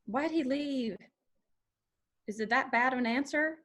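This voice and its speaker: A woman's voice: high voice, measured pace, fairly bright tone. A woman acting a defiant, caring daughter with a blunt, wry manner in a screenplay reading. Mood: pressing, frustrated.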